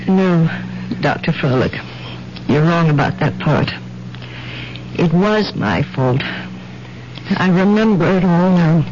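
Polite married lady in 70s radio mystery, emphatic n formal, lively pitch, muffled old recording. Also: takes it slowly, long pauses, noisy background. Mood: guilty, insistent. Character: polite married woman, haunted by guilt, insistent. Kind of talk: 1970s radio mystery drama acting.

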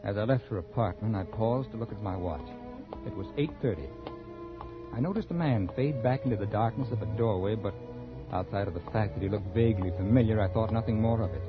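An actor in a 1940s radio drama playing a callous, conceited writer, brusque and cool in dialogue and narration. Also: male voice, lowish voice, brisk, over music, close to an everyday voice. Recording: dull 1940s radio recording.

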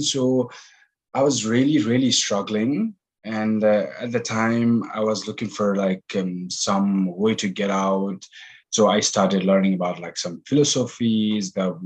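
A man's voice: lowish voice, husky, poor audio. A man from Nepal with a Nepali accent, a meditation teacher answering interview questions hesitantly with many fillers. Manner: hesitant, many ums and likes.

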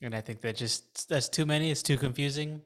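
Male podcast guest, mid-pitched, deadpan and matter-of-fact. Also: fairly quick. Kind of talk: casual chat.

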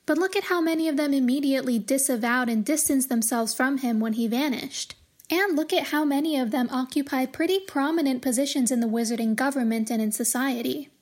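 A woman hosting a podcast, giving measured, articulate commentary on a fantasy book series in a scripted, explanatory style. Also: high-pitched, a little mellow. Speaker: podcast host.

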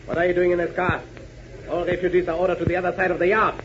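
A bullying German captain in a 1940s radio serial. His high voice sneers and barks in a German accent. The recording is dull, with plain noise over it. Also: completely flat delivery, racing, a bit bright. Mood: suspicious, commanding. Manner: barking, contemptuous.

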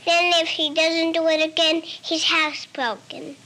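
A woman's voice: very high-pitched, flat delivery, unhurried, bright, forceful tone. A female interviewee explaining something off the cuff on a 1950s tape. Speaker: street interviewee. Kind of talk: unscripted explanation. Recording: noisy 1950s location tape.

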